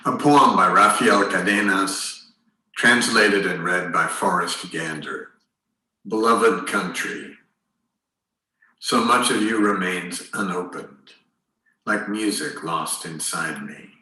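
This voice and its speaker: A man giving a measured reading of a translated poem, recorded in an echoey room. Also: sits low, bright, forceful tone, recorded from a distance.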